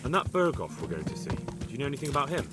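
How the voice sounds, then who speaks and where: Man as young medieval commoner squire, earnest, plain-spoken, very lively intonation, mid pitch, music behind, video game. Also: racing, natural voice. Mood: curious. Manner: earnest, questioning, indignant at wrongdoing. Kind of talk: dramatic video game dialogue.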